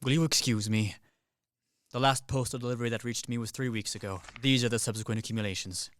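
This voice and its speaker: A man's voice: medium pitch, fairly even intonation, quick pace, fairly bright tone, slight background noise, lightly acted. A man in a radio play acting a practical, unsentimental army captain with a businesslike manner. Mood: matter-of-fact. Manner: matter-of-fact, clipped, businesslike. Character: brisk, practical Swiss soldier, unsentimental captain.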